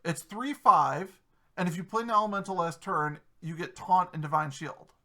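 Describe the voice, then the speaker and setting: A man with a high-pitched, bright, forward voice hosts a casual gaming chat. He rambles through fillers and restarts and keeps it loose and friendly over a desktop mic. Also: moderate pace. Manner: chatty, self-interrupting with many fillers. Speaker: podcast host.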